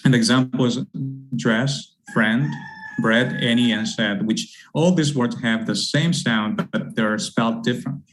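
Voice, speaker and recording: A man's voice: mid-range voice, measured pace, slight background noise, low-quality recording. A middle-aged man, a university English teacher giving an academic presentation, rambling with many fillers and repeated words. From Honduras. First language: Spanish.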